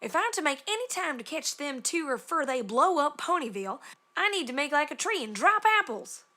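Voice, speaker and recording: A man's voice: extremely high pitch, sing-song, brisk, sharp tone, pitched above the performer's own voice, heavily acted. A man voicing a tough, blunt country mare who talks in a folksy drawl. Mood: impatient.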